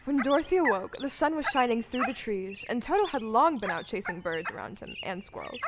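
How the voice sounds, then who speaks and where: A woman's voice: a little high, animated, a little fast, noisy background. A woman giving scripted storybook narration for an audio play. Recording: live stage recording with music underneath.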